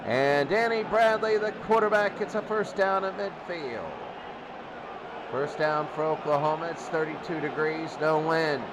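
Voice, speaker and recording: Man calling football, high voice, noisy. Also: a little slow. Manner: explains rules plainly, then calls plays in an energetic radio-announcer voice. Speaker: hobbyist game host and play-by-play announcer. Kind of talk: simulated old-time radio football play-by-play.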